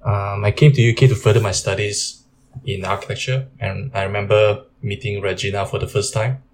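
A man from Malaysia in his thirties, a podcast guest and game co-founder, talking about his background in a measured way with fillers. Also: fairly low pitch, level tone, a little mellow, a little hiss or hum. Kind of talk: casual interview talk.

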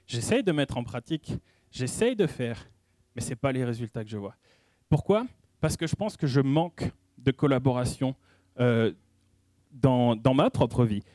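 A man giving a sermon in a conversational style, pausing often and restarting his sentences as he speaks. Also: mid-range voice, animated, dark tone, dry recording. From French-speaking. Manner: conversational preaching with frequent hesitations and restarts. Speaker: preacher.

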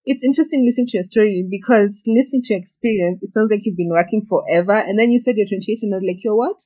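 Woman interviewer, warm low-key timbre, curious and encouraging. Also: rapid-fire, low-quality recording. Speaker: host. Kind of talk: casual interview.

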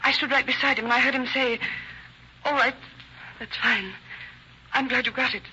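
Upset wife in old radio crime show, real high voice, halting, muffled old recording. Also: female voice, fairly even intonation, pushed and bright, a little hiss or hum. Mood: shaken, recounting. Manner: halting, emotional, earnest. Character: distressed middle-class doctor's wife being questioned by detectives. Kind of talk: 1940s radio drama acting.

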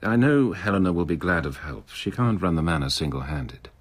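A man's voice: deep voice, animated, a little fast. An actor playing an unruffled senior male surgeon in a radio drama. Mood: puzzled, probing. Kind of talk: British radio drama acting.

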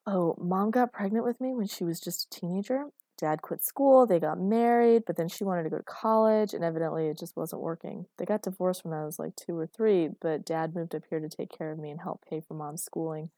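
A gossipy teenage girl, voiced by a woman narrator, unfazed and matter-of-fact. She chats in a mellow tone, in a dry storybook recording. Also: lowish voice, fairly quick, few pauses, no echo, natural voice. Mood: gossipy, intrigued. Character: unfazed, gossipy sixteen-year-old girl. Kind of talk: audiobook character dialogue.

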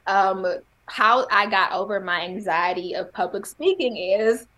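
A woman being interviewed on a podcast, speaking with a medium-pitched voice and a slight stutter. She tells her story candidly in relaxed conversation and laughs often as she goes. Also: unhurried. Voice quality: has a stutter. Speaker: podcast guest.

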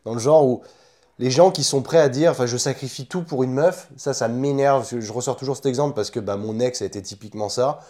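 Young Frenchman, informal and opinionated, mid-pitched. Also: brisk, warm tone. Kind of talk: casual chat.

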